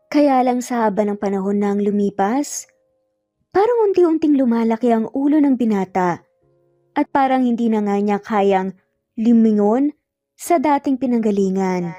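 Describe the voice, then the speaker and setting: A woman's voice: a little high, lively intonation, normal speed, mellow, no room sound. A woman with a Filipino accent reading a scripted dramatic story as a storyteller. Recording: dull lo-fi audio, music behind. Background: background music.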